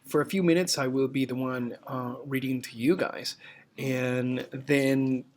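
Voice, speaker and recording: A teacher giving instructions and reading a storybook aloud in a deliberate, expressive, explanatory way. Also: medium pitch.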